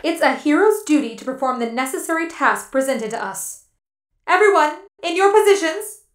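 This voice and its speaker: A woman's voice: high-pitched, expressive, moderate pace, recorded from a distance. A woman voicing a theatrical, commanding alien robot hero leader in a cartoon comic dub. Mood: stern. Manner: theatrical, authoritative. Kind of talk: animated comic dub acting. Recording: roomy, off-mic, music behind.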